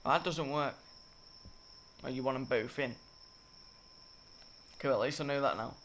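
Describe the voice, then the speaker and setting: A croaky, slightly sick young man rambling through a game-building tutorial, often correcting himself. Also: mid-range voice, normal speed. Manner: rambling, self-correcting, filler-heavy. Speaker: gaming tutorial creator. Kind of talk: casual gameplay tutorial commentary. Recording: loud game noise behind. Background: game sound effects and clicks.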